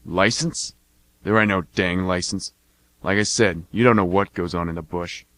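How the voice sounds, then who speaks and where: A deep-voiced, swaggering drunken veteran and mercenary, acted by a man for a radio play. He taunts and threatens in folksy slang, bragging like a hard man. The sound is close and dry. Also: normal speed, a bit bright, no room sound. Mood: boastful, gruff. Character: menacing, drunken brother, boastful war veteran turned mercenary. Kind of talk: amateur radio drama acting.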